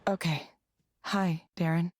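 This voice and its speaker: An actress playing a calm, reserved woman in a visual novel, speaking in a measured, careful, gentle way. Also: lowish voice, slight background noise. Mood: calm, scripted. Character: calm, reserved woman reading for a counseling program. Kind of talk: naturalistic visual novel voice acting.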